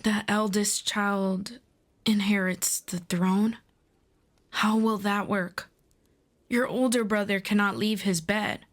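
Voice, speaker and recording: Woman doing a proud demon princess for a fantasy roleplay audio, talks real slow and formal, earnest, trying to persuade. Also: medium pitch, steady tone, natural voice. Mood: surprised, insistent.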